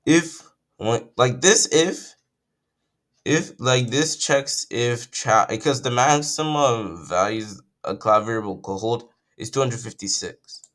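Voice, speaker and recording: A young man, croaky from just waking up, talks through a casual coding tutorial at his computer, thinking aloud and correcting himself as he goes. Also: mid-range voice, slow pace, a bit bright.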